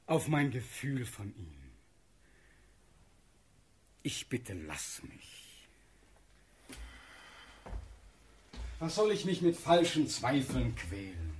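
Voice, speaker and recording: An actor playing a proud, passionate young prince condemned to death, declaiming verse in a husky, rough voice in a radio play. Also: male voice, medium pitch, sing-song, darkish tone, slight background noise. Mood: defiant, trusting. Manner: declamatory, impassioned, pausing for effect.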